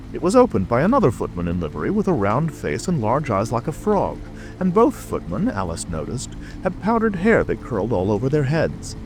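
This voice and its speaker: A man's voice: medium pitch, poor audio. A man narrating a classic storybook as a scripted audiobook.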